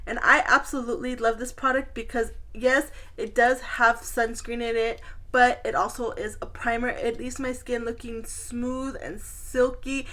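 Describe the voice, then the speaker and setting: A woman's voice: fairly high pitch, level tone, unhurried, few pauses, a bit bright. A woman beauty vlogger chatting casually and informally to her viewers as she reviews products.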